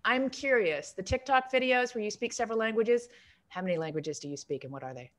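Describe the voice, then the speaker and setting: A woman's voice: on the high side, fairly quick. Chatty woman hosting a video-call interview. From Canada. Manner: chatty, laughs easily, self-deprecating. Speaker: host. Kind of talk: casual interview. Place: home in Canada.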